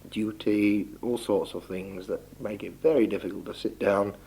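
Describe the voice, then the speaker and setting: A young man speaking a halting, deliberate, politely phrased spoken letter on an old 1960s home tape recording. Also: on the low side, steady tone, mellow, slight background noise, low-quality recording. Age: young adult. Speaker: doctor recording a message for relatives. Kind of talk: spoken letter, informal monologue.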